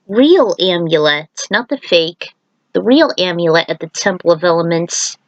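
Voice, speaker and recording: A woman's voice: fairly low pitch, no echo, poor audio. A woman reading a fan-fiction story aloud as an amateur narrator, occasionally stumbling and repeating words.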